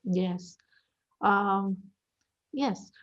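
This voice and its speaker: A woman hosting a festival interview over a video call, asking questions in a halting, hesitant way. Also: mid-range voice, highs cut off. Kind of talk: interviewing guests.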